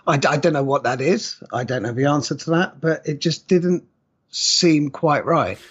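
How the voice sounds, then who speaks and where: A man with an English accent and a cold sounds husky and croaky. He chats in a wry, self-mocking way, stumbling over his starts, and the recording comes across muffled and lo-fi. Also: fairly high pitch, moderate pace, a bit bright. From England. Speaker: podcast co-host. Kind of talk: casual chat. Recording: dull lo-fi sound.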